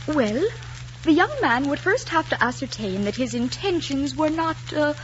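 A woman's voice: high-pitched, animated, moderate pace. An actress playing a spirited young Irish woman in love, with a lilting Irish accent and coquettish phrasing, in an old romantic drama. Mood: playful, coy. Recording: dull, noisy old film soundtrack.

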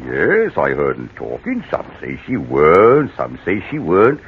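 Sly rustic handyman: low, husky, teasing; lo-fi vintage radio. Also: wildly swooping pitch, normal speed, slight background noise, full character voice. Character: sly rustic male handyman, middle-aged. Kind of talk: radio comedy acting. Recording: dull lo-fi vintage radio recording.

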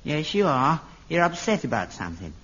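An actor playing a cantankerous old working-class father, raspy and whiny, nagging and stubborn in a sitcom. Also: fairly high pitch, big pitch swings, fairly bright tone, full character voice. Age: older. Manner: nagging, stubborn, quick to bicker. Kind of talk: 1970s TV sitcom acting. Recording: dull old TV soundtrack.

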